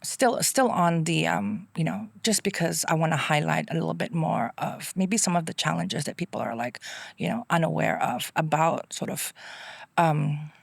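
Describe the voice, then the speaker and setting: Lady interviewer, gentle careful questions, casual, no room echo. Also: deep voice, normal speed, gravelly, breathy edge.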